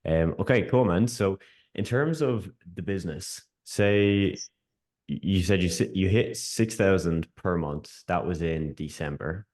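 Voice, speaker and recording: A man's voice: deep voice, darkish tone. A man hosting a casual interview over a video call, upbeat and friendly, often calling his guest "man". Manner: upbeat, quick, says "man" a lot. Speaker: host and coach.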